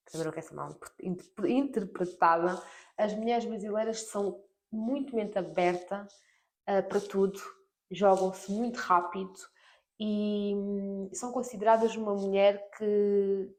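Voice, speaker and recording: A woman's voice: medium pitch, a little mellow. A woman with a European Portuguese accent hosting a podcast in a casual, conversational interview style. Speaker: podcast host.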